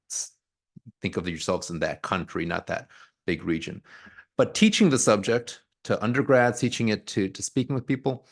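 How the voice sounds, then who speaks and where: A man speaking as an author and historian in a conversational interview, thoughtful, often restarting and repeating words as he searches for the right phrase; Polish was his first language. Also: low-pitched. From United States, grew up in Pennsylvania.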